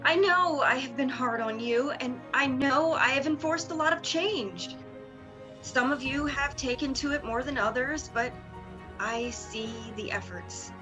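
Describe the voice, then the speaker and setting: A woman's voice: sits high, measured pace, music in the background. A young woman playing a proud, wounded and determined sorority leader in a dramatic reading. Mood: earnest.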